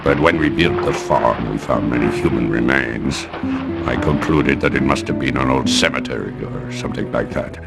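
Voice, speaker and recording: An actor playing a good-humoured fat farmer in a video game cutscene, with a gravelly voice and a slow manner. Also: male voice, rumbling low, over loud music. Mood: earnest. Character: jolly fat farmer grateful to his rescuer.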